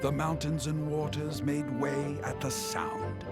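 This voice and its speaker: An actor playing a storyteller who recounts legends in a theatrical, sweeping manner. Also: male voice, fairly high pitch, steady tone, measured pace, natural voice. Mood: dramatic. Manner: theatrical, rising and falling storytelling. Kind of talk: game voice acting. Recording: game audio over loud music.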